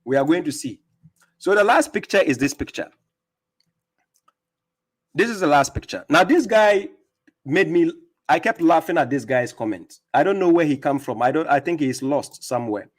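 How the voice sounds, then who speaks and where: Man with a higher voice, taunting. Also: animated, moderate pace, pauses often. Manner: mocking, taunting, laughs at opponents; emphatic, repeats words when he stumbles. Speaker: livestream host. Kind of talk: combative religious livestream rebuttal. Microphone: streaming setup.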